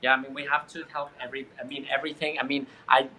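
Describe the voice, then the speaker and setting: A man's voice: medium pitch, level tone, rapid-fire, sharp tone, slight background noise, low-quality recording. A man in his thirties from Chile, a guest in a casual spiritual conversation who rambles and searches for his words. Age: 30s.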